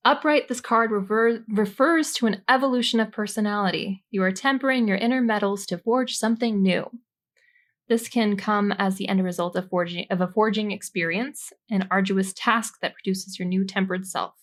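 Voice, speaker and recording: A woman's voice: mid-range voice, normal speed. Informal, jokey woman podcast host. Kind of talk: casual chat explaining tarot cards from notes.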